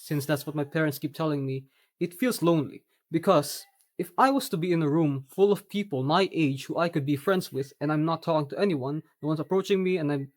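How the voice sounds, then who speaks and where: A young man from the Philippines with a Filipino accent, whose first language is Tagalog, talking earnestly in a personal vlog with measured, slightly formal phrasing. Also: fairly high pitch. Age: young adult. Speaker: vlogger. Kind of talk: personal reflective monologue.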